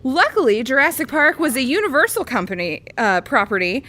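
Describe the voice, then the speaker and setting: A woman's voice: high-pitched, big pitch swings, fairly quick, sharp tone. A woman reading a bawdy comic parody story aloud as a storyteller. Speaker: comedy storyteller.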